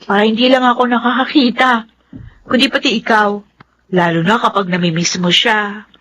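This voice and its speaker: A Filipino woman playing a gentle, devoted teenage daughter in a radio drama. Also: fairly high pitch, fairly even intonation, moderate pace, a little hiss or hum, close to an everyday voice. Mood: curious, fond.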